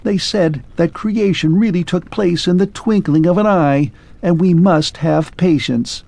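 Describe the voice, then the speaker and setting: A man voicing a melancholy clockwork man who speaks in a shrill, faint, pleading way. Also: a little slow, creaky, darkish tone, no echo, exaggerated voice. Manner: pleading, wistful, grows faint and distant.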